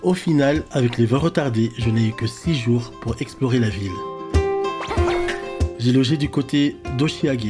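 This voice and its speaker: A man in his thirties from Belgium, calmly narrating a scripted travel voice-over. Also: medium pitch. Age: 30s. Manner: calm, measured storytelling. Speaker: vlogger. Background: music bed.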